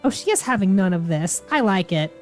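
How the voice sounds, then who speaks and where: Animated woman doing wry game commentary. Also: medium pitch, brisk, faint music behind. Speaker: VTuber game streamer. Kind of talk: playthrough commentary with dramatic reading.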